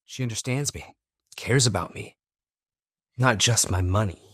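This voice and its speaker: A husky-voiced man of money and power, cold and domineering toward his wife. His delivery is curt and biting, recorded close and dry in audio drama style. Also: lowish voice, a little slow, a bit bright, no room sound. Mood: cold, detached. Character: cold cruel wealthy husband, contemptuous and domineering.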